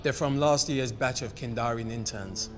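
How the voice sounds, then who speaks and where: A man's voice: medium pitch, moderate pace, very noisy, lightly acted. An actor playing a testy, pompous man who designed a lab, brusque in a film-style game thriller. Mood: irritated. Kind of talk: naturalistic film drama acting.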